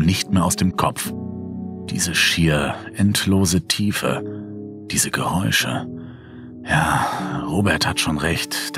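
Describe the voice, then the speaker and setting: Casting: low-voiced male actor as weary, haunted first-person horror narrator, dramatic delivery, music underneath. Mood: weary, brooding. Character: sleepless, brooding man haunted by a mysterious hole.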